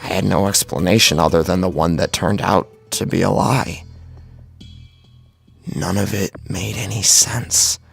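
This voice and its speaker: A man acting an anguished, guilt-ridden victim narrating his own horror story in a gravelly, husky, halting voice. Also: low voice, fairly even intonation, a bit bright, faint music behind, exaggerated voice. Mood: grim, bewildered.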